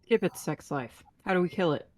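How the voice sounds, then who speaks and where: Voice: medium pitch, expressive, a little fast, darkish tone, lightly acted. A performer playing a practical, no-nonsense spaceship captain in a sci-fi table read. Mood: businesslike.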